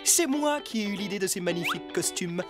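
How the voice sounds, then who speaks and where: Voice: medium pitch, brisk, natural voice. An actor voicing a crafty, boastful fox who leads a gang in a cartoon. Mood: boastful, reassuring. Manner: boastful, animated. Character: cocky, crafty fox, the scheming leader. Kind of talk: French-dubbed cartoon acting. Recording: loud cartoon music behind.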